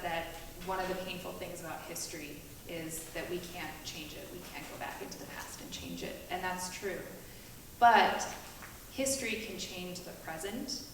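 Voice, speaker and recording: A woman reading a prepared talk as a panelist, earnest and deliberate, with emphatic repetition. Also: lowish voice. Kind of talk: prepared speech read aloud at a panel. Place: echoey meetinghouse hall, distant mic. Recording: very echoey, distant mic, faint noise.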